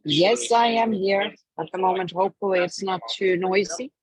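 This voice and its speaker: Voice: medium pitch, normal speed, husky, no echo, low-quality recording. A panelist in a railway station restaurant who answers webinar questions in a friendly, reassuring way.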